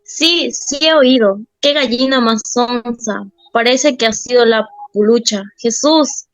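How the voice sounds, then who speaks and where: A woman's voice: fairly high pitch, slow pace, low-quality recording. A woman playing an anxious, superstitious young wife in an amateur radio drama. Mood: fearful.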